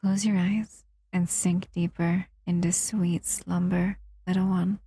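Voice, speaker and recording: A woman voicing a doting, seductive female shadow monster in an intimate ASMR roleplay, breathy and soothing, often whispering. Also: fairly low pitch, little pitch movement, slow, mellow, dry recording, studio quality, full character voice. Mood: tender, lulling.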